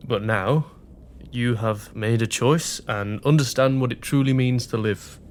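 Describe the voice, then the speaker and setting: A man's voice: mid-range voice, a little slow, slight background noise, lightly acted. An actor playing a sincere young working-class grocer's assistant in a period radio drama, speaking earnestly and tenderly to his sweetheart. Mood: regretful, tender.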